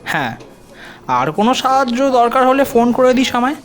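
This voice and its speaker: Man acting a high-pitched confident police officer. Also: sing-song. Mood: friendly, helpful. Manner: measured, explanatory, mixes in English terms. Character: male police officer, confident, explanatory, helpful friend of detective. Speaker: voice actor. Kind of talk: audio drama acting in Bengali detective story.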